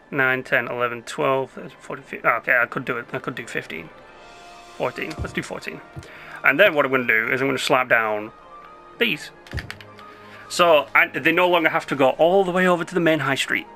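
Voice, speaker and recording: A young man talks his way through a city-building game in a loose, rambling patter, often breaking off mid-sentence. His voice is very bright but a little hoarse, as if he is losing it. Soft game music plays in the background. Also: medium pitch. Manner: chatty, rambling, self-interrupting. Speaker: gameplay commentator. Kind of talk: casual gameplay commentary.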